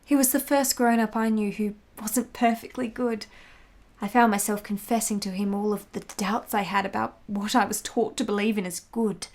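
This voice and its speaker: An actress playing a tender, secretive nineteenth-century mistress in a radio play, with formal, genteel phrasing. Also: female voice, mid-range voice, fairly even intonation, normal speed, a little mellow, close to an everyday voice. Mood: confiding, tender. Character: tender, adoring 19th-century Italian mistress, secretive and assured.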